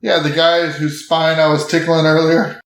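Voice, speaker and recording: A man with a fairly high voice plays a tabletop role-playing game. He describes his actions in a casual, conversational first person, with clear room echo around the voice. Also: level tone, moderate pace, fairly bright tone. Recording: roomy, echoing room sound.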